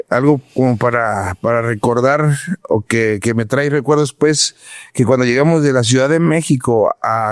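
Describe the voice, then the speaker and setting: A man in his forties from Mexico City with a Mexican Spanish accent, telling his story as a podcast guest, halting and full of fillers. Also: medium pitch, no room sound, clean studio sound. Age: 40s. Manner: halting, many restarts and fillers. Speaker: guest, former pro footballer. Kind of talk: casual podcast interview.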